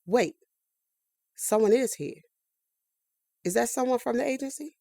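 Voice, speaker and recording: An actress playing a driven, indignant engineer recruit in an audio drama, speaking in emphatic, insistent demands. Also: female voice, on the high side, measured pace, creaky, darkish tone, dry recording. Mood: anxious, hopeful. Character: Harper, driven, indignant woman engineer recruit, easily exasperated.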